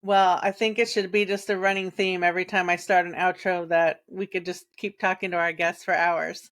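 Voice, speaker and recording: A woman's voice: fairly low pitch, level tone, moderate pace, a bit bright. A woman co-hosting a podcast in a casual, frank, conversational way. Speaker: podcast co-host.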